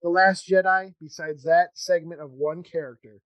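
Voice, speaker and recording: A man hosting a podcast in casual conversation, talking in a halting, rambling way as he thinks aloud. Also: high voice, steady tone, fairly bright tone, no room sound, low-quality recording. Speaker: podcast host interviewing a guest. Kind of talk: casual chat.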